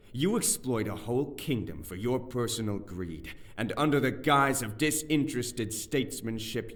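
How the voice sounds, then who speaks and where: A man's voice: fairly low pitch, distant mic. An actor playing a proud, indignant young nobleman in an audio drama, speaking in a formal, declamatory way.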